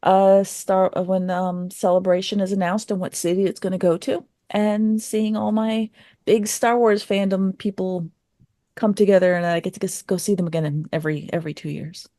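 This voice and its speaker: A woman's voice: medium pitch, level tone, normal speed, dark tone, no room sound, studio quality. A woman chatting casually and informally as a guest answering questions on a podcast. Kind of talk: casual chat.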